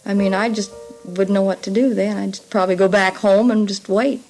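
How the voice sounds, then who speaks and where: A woman with a medium-pitched voice recalls events in a plain, hesitant way. It is a 1950s radio interview tape with faint music underneath. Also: fairly even intonation, brisk, darkish tone, rough recording. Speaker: interviewed parent. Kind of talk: unscripted recollection in interview.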